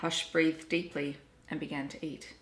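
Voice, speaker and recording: An Australian woman reading children's storybooks aloud in an animated, deliberate storytelling way. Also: deep voice. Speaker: children's story reader and book vlogger. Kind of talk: expressive storybook read-aloud. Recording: roomy, echoey home recording.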